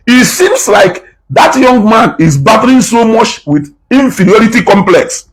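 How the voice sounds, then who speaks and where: A man's voice: high voice, breathy edge, rough recording. A man giving passionate reaction commentary, preachy and repeating words for emphasis. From Nigeria, Igbo.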